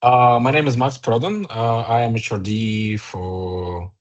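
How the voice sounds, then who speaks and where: A man with a Ukrainian accent, an HR director in his thirties, answering interview questions in a halting way with many fillers and restarts. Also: mid-range voice, dull audio. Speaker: HR director guest.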